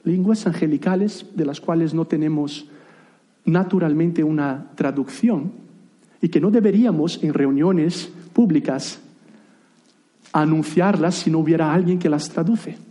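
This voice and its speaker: A man's voice: a little high, unhurried, mellow. A man in his thirties, a native Portuguese speaker, preaching a sermon in a conversational way with light self-deprecating asides and frequent restarts. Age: 30s.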